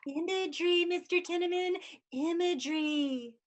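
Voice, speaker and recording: A woman's voice: very high-pitched, moderate pace. Woman playing a chirpy sing-song therapist. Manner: sing-song, bossy, cheerful. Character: brisk, chirpy female hospital therapist. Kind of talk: livestream play reading.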